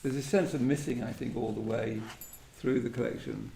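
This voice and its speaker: A man's voice: mid-range voice, steady tone, moderate pace, gravelly, dark tone. A man hosting a literary discussion, speaking reflectively in tentative, thoughtful phrases that often end in a questioning tag. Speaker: host of a poetry event. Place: echoey indoor venue at a live event. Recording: roomy echo, faint background noise.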